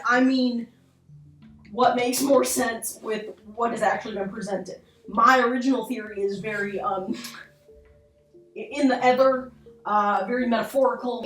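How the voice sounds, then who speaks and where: A young woman talks through an analysis in a loose, informal, sweary way, undercutting herself with asides. Her voice sits at a middling pitch and is caught in a roomy, echoing space, a little away from the mic. Music plays underneath. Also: fairly bright tone, recorded from a distance. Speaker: video essay host. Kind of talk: casual horror analysis commentary.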